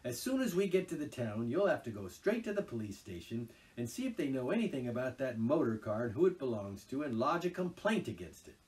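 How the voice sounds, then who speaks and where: A man voicing a stern, practical male water rat, speaking firmly and briskly. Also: mid-range voice, big pitch swings, darkish tone, distant mic, voice pushed up, close to an everyday voice. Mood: exasperated. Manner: firm and brisk. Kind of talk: storybook reading aloud.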